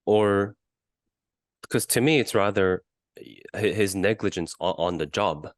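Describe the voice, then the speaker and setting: A man co-hosting a sports podcast in casual discussion, arguing his points haltingly and often repeating words. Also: on the low side, no room sound, studio quality. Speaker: podcast co-host.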